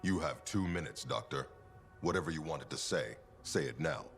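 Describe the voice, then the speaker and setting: An actor playing a stern, authoritarian fort commander in a video game, formal, commanding and disapproving. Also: male voice, extremely low pitch, lively intonation, normal speed, gravelly, a bit bright, faint music behind, heavily acted. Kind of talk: video game cutscene acting.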